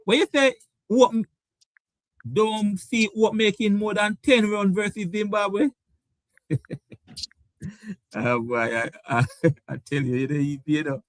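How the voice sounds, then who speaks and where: A man's voice: high-pitched, a little slow, pauses often, no echo. A man hosting a casual cricket chat show, teasing his guests and laughing often. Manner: laughing, teasing, repeats words. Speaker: show host.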